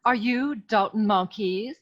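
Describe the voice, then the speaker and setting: A woman playing a flirtatious, coy femme fatale client with deadpan delivery in a comic noir radio play. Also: mid-range voice, slow, highs cut off, faint music behind. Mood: flirty.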